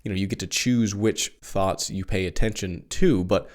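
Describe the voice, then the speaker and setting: A man with a fairly low voice talks through his own podcast in a loose, conversational way. He often restarts sentences and goes off on small asides. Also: moderate pace. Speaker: podcast host. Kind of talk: solo philosophy podcast monologue.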